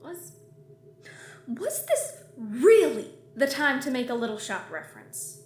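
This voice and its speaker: A woman's voice: sits high, sing-song, slow pace, exaggerated voice. A woman playing a sarcastic, slangy starship captain in a science fiction audio drama. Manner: casual, sardonic, slangy. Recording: roomy echo, faint noise.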